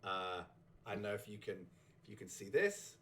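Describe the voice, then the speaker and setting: A man with a fairly low voice hosts a relaxed recap chat. He rambles and chuckles, often breaking off to restart a sentence. His voice is just getting over a sore throat. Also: normal speed, a little hiss or hum. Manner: rambling, chuckling, self-interrupting. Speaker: host. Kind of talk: casual chat recapping a game community.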